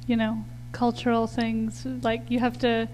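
A woman's voice: on the high side, completely flat delivery, a little slow, darkish tone, slight background noise, rough recording. A woman moderating a panel discussion, asking long casual questions full of you-knows. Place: stage of a lecture hall before an audience.

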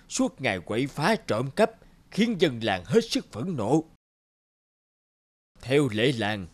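Stern village elder. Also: male voice, mid-range voice. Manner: formal, reproachful. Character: stern village elder passing judgement on thieves.

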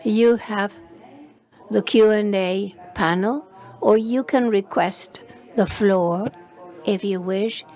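A woman giving live simultaneous interpretation of a conference session, halting often and restarting phrases as she renders the speakers. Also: medium pitch, a little mellow. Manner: halting, frequent restarts and fillers while rendering speech.

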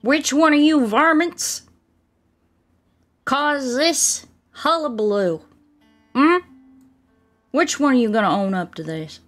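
Woman putting on a slow-drawling sassy Southern cowgirl. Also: sits high, a bit bright, pitched above the performer's own voice. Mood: mock scolding. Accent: put-on American Southern. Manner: drawling, theatrical, folksy cowboy slang. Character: sassy Southern cowgirl, mock-indignant and folksy. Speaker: livestreamer. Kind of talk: in-character game stream chat.

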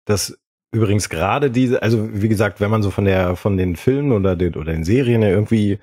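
A man's voice: fairly low pitch, a little fast, a little mellow, husky. A man co-hosting a podcast, talking casually in a reflective, wordy way. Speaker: podcast co-host.

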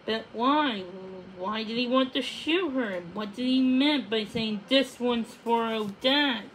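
Slow, halting woman reading a storybook. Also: a little high, slight background noise, low-quality recording. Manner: halting, stumbling and repeating words. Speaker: storybook reader. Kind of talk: children's book read-aloud.

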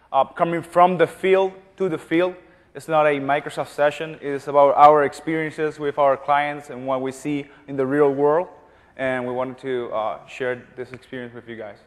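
A man co-presenting a conference session, explaining its approach in a plain, matter-of-fact way. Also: a little high. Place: large conference room. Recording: long reverb of a large hall.

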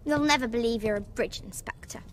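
Woman acting high-pitched, questioning girl. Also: brisk, slight background noise, close to an everyday voice. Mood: probing. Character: sharp, doubting young daughter, girlish and probing. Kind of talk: dramatic video game acting.

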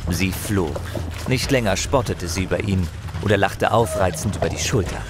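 A man's voice: low voice, normal speed, lightly acted. A man narrating a fantasy audio drama as a grave, intense storyteller, with music and effects behind him. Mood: grave, dramatic.

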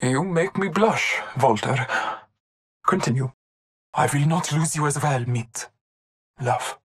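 Game casting: solemn masked hunter, formal and devoted, very slow, deliberate delivery. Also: female voice, deep voice, slight background noise. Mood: devoted, restrained. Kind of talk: video game character voice lines.

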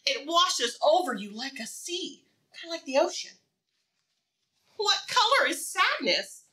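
A woman with a high, bright voice teaches very young children through a read-aloud. She asks simple questions in an encouraging sing-song, leaving room for answers. Her pitch swoops widely as she goes. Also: very animated, measured pace. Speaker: preschool teacher reading aloud.

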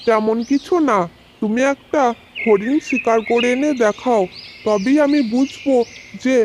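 A woman's voice: fairly high pitch, slow, noisy background, voice pushed down. A woman voicing a sly, weary old fox in a children's cartoon folk tale, wheedling, mocking and plotting aloud. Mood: wheedling, taunting.

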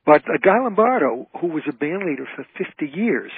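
A man's voice: a little high, sing-song, a little fast, gravelly, breathy edge. A man giving an explanatory, anecdote-telling interview as a guest entertainer. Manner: storytelling, explanatory. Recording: narrowband phone-like radio line.

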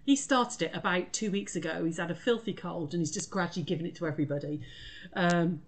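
A woman's voice: lowish voice, fast. Snuffly woman chatting on a live stream. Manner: bubbly, greets viewers by name, laughs often. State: full of cold, snuffly. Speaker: craft shop owner hosting a live sewing tutorial. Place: home sewing studio. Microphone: webcam at desk.

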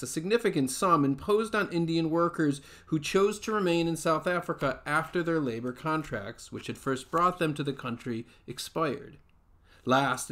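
Higher-voiced man reading nonfiction aloud. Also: fairly bright tone. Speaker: author reading aloud from his book. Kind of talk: read-aloud nonfiction narration. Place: small furnished room at home, indoors.

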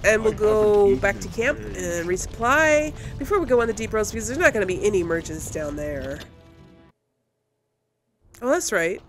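A woman's voice: mid-range voice, creaky, slight background noise. A woman giving casual let's play commentary, rambling and thinking aloud as she goes. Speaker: gamer, channel host.

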